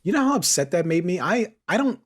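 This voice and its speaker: A man's voice: high voice, very animated, a little fast, husky. A man chatting casually as a podcast co-host, jokey and playfully dramatic. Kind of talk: casual chat.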